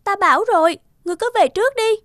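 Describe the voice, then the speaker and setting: A woman voicing a young celestial princess in a cartoon, gentle but stubborn, lovelorn and emotional. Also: very high-pitched, expressive, racing, pitched above the performer's own voice. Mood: stubborn, indignant. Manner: pleading, emotional.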